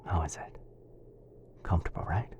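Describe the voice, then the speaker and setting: A man softly whispering an ASMR boyfriend roleplay as a kind, caring wizard, in a soothing, hushed manner. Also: moderate pace, dull audio. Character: kind, caring, smitten young male wizard.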